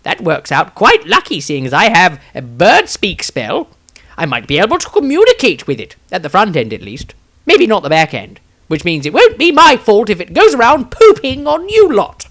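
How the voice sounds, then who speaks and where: An excitable storybook wizard, pitched well up and swooping wildly. He speaks with theatrical pomp and a flamboyant, joking temper. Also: normal speed, exaggerated voice. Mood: grandly hopeful. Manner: theatrical, swooping, pompous delivery. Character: flamboyant, excitable male spell-caster, prone to jokes.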